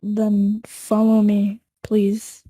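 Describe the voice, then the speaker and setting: A woman playing a gentle, dreamy storyteller in an amateur table read, speaking softly. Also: medium pitch, completely flat delivery, very slow, dry recording. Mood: tender, wistful.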